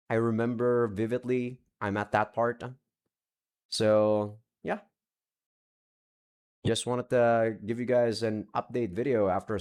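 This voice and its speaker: A young man talking casually to his viewers from his room at home, rambling, cutting himself off and swearing lightly while he searches his game library. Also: medium pitch. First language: not Japanese. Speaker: visual novel let's play creator. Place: home room with several monitors.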